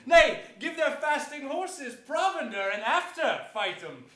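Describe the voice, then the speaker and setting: A man's voice: very high-pitched, big pitch swings, a little slow, bright, forceful tone, heavily acted. An actor playing a bold, scornful king in a Shakespearean stage reading, declamatory and sardonic. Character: bold, scornful king mustering for battle, merciless. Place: theater stage before an audience, indoors. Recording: echoey theater stage.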